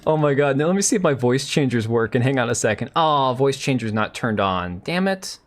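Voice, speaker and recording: A man in his thirties with a mid-pitched voice that has gone hoarse from talking for a long time. He rambles through a casual live commentary, loose and sarcastic. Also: animated, a little fast. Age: 30s. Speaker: livestream host.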